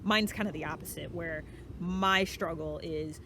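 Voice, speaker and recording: Casual vlogger with clear background noise. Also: medium pitch, unhurried. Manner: conversational, self-reflective, thinking aloud. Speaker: channel host. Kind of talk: casual reflective solo vlog about personality typing.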